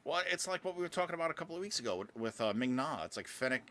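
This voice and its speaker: A man's voice: fairly high pitch, lively intonation, rapid-fire, a crowd in the background. A man in his thirties co-hosting a podcast, chatting casually and rambling with lots of filler words and swearing. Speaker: podcast co-host.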